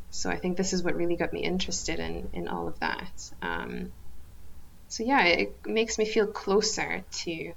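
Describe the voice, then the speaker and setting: A woman in her thirties, a podcast guest from Kazakhstan, talking thoughtfully with frequent pauses and restarts. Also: lowish voice, creaky, muffled recording. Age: 30s. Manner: thoughtful, hesitant with many ums and restarts. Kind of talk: casual interview chat.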